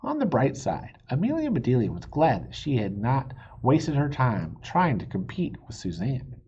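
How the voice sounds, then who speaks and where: A man reading a children's storybook aloud in a playful, expressive way, stretching words for emphasis. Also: mid-range voice, moderate pace, slightly rough, muffled recording. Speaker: reader of a children's book. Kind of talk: animated storybook read-aloud with asides.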